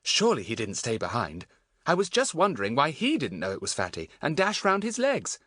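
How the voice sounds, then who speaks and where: A man's voice: mid-range voice, huge pitch swings, a little fast, fairly bright tone, dry recording, clean studio sound, voice pushed up. A man voicing a sensible, scolding schoolgirl with a matter-of-fact, reproving manner in a storybook. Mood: puzzled.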